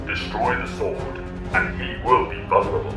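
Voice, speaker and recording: An analytical mentor, acted by a man, gives grave, measured advice in an audio drama. The voice echoes from a distance over prominent music. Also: lowish voice, close to an everyday voice. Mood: grave, urgent. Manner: measured, instructive. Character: analytical male mentor advising a fighter from afar.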